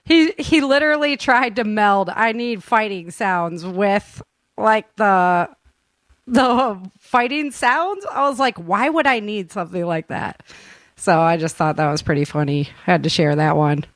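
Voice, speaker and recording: A woman hosting a podcast in casual chat, telling stories in an animated, giggly way. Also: medium pitch, unhurried, no room sound. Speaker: podcast host and audio drama creator.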